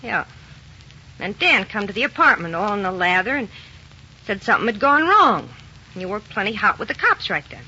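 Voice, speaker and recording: An American actress playing a frightened gangster's wife in a 1940s radio crime drama, pleading and insistent in slangy speech. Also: female voice, mid-range voice, very animated, normal speed, pushed and bright, husky, exaggerated voice. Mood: anxious explaining. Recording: old muffled radio recording, faint noise.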